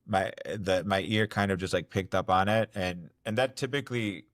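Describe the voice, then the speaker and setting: A man's voice: low-pitched, normal speed, fairly bright tone, no room sound, studio quality. A young man from Ohio, a podcast guest talking casually with lots of fillers and restarts. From Ohio, United States. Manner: rambling, many fillers and restarts. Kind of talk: casual interview talk.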